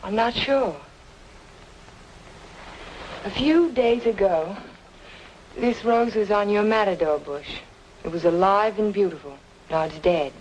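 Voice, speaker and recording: An actress playing an earnest, insistent woman in an old film noir drama, speaking emphatically and deliberately. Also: medium pitch, darkish tone, lightly acted. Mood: probing, concerned. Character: earnest, insistent woman reasoning with a troubled man. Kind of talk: mid-century film noir drama acting. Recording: noisy old film soundtrack, hissy.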